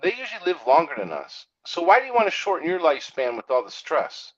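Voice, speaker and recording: Man, high-pitched voice, blunt, opinionated, casual, quotes others in dialogue, dull lo-fi sound. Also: sing-song, fast, fairly bright tone. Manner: blunt, straight-talking, acts out quotes. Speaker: trucking business owner.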